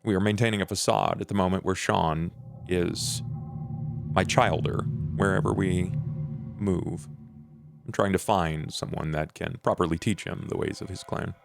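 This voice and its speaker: A man voicing a composed, world-weary male vampire with measured, formal phrasing in a tabletop game. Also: low voice, fairly even intonation, creaky, over music.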